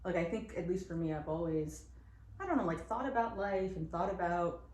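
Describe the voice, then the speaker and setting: A young woman co-hosting a podcast, chatting casually with a friend, animated and full of fillers and laughter. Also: fairly low pitch, fairly quick. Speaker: podcast co-host. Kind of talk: casual chat between friends. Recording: roomy with faint noise.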